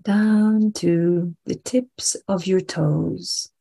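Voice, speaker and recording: A woman guides a meditation at an extremely unhurried pace. Her voice is soft and husky with a mellow, slightly low tone. She is soothing and deliberate, leaving long pauses between repeated phrases. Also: steady tone. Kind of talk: slow guided relaxation meditation.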